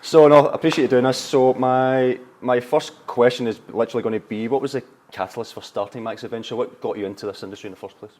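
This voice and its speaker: A man hosting an interview, talking briskly and warmly with a business guest he has known for years. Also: mid-range voice, steady tone. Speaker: interviewer, agency owner. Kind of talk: friendly interview.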